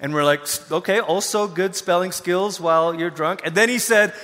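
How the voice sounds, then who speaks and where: A middle-aged male pastor with a bright, forceful, fairly high voice preaches in a conversational style. He is an informal, animated storyteller, and his words ring with the long reverb of a large hall. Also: moderate pace. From Malaysia. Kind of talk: conversational sermon with personal storytelling.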